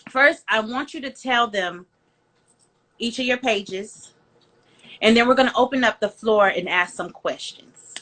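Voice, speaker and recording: A woman hosting a live video interview talks slowly, with a bright, slightly high voice. She keeps up a stream of encouraging interjections as her guest speaks. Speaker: host. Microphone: video call.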